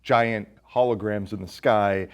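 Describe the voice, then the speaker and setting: A man's voice: lowish voice, fairly even intonation, measured pace, muffled recording. A man hosting a podcast, chatting casually about movies with jokey, teasing banter. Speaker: podcast host.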